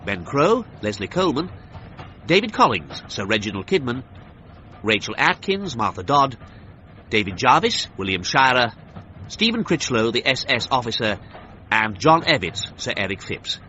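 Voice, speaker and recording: A man's voice: medium pitch, sing-song, normal speed, sharp tone, slight background noise. A man reading credits as a formal radio announcer. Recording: muffled lo-fi broadcast, music behind.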